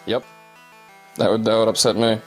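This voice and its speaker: A man's voice: lowish voice, level tone, moderate pace, soft background music. A man bantering casually as a comedy co-host, irreverent and crude in a mock-earnest way. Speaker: co-host of a retro game commentary show.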